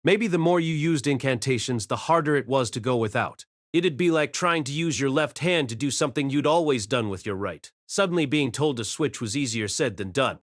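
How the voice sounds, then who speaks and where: A man's voice: mid-range voice, animated, a little fast, few pauses, no room sound, studio quality, close to an everyday voice. A man playing a wry, self-deprecating reincarnated boy mage, narrating his own life in a conversational audiobook style. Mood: wry narration. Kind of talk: first-person audiobook narration with acted dialogue.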